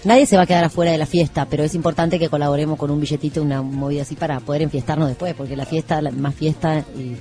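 A woman with a Rioplatense Argentine accent talks casually on a radio show. Her voice is soft-edged and dark, her manner emphatic and slangy, with faint music behind her. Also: deep voice, fairly even intonation, racing, few pauses.

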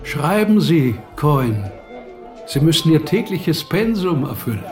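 An actor playing a proud, melancholy, rootless writer who speaks deliberately and reflectively in a radio play. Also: male voice, a little high, big pitch swings, darkish tone, soft background music. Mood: commanding. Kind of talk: autobiographical radio play monologue.

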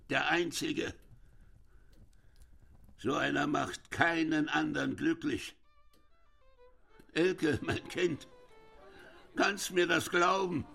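Gravelly old father, slow and brooding. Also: on the high side, fairly even intonation, a bit bright, faint music behind, heavily acted. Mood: bitter, weary. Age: older. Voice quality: gravelly, husky voice. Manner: slow, brooding, halting with repeated words. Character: bitter, ailing old dike reeve, brooding father. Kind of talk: German radio drama acting.

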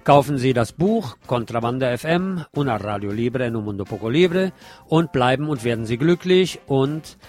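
A man's voice: mid-range voice, animated, moderate pace, a little mellow, soft background music. A man reading scripted film commentary as host of an alternative radio programme.

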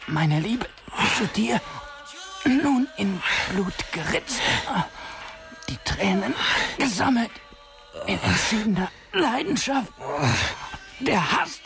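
A performer playing an anguished, obsessive young poet, reciting with a creaky voice in a German radio drama. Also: male voice, high voice, lively intonation, slow, long pauses, fairly bright tone, soft background music, exaggerated voice. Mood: anguished, intense.

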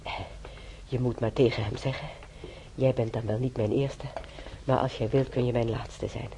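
Een actrice die in een hoorspel een oudere bedlegerige patiënte speelt, moederlijk en snel van slag, haperend en zuchtend. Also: female voice, extremely low pitch, fairly even intonation, warm tone, a little hiss or hum. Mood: teasing. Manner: halting, sighing, full of ohs. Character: older bedridden female patient, flustered, teasing, motherly. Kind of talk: radio drama acting.

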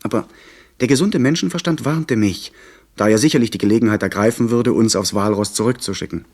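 An actor playing a young gentleman who recounts his sea adventure in measured, literary radio drama narration. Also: on the low side. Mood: absorbed, suspenseful. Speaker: narrator and lead character. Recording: 1970s radio play, faint background noise.

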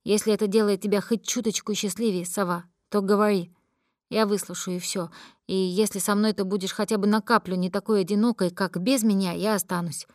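A woman reading a calm, quiet young man. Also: medium pitch, a little fast, no room sound, studio quality, lightly acted. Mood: quiet resigned. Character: gentle patient young man, her devoted friend.

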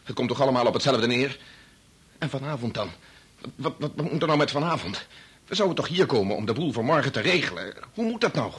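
A man's voice: mid-range voice, expressive, fast talker, breathy edge. A Dutch actor from the Netherlands playing an earnest, worn-out doctor and young father in a radio play, stammering when pressed. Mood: agitated, accusing.

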